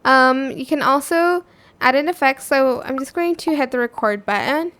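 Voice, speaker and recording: A woman with a clearly high-pitched voice narrates a how-to tutorial. She explains each step informally, with an offhand, conversational delivery like a video host talking to viewers. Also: moderate pace, a bit bright.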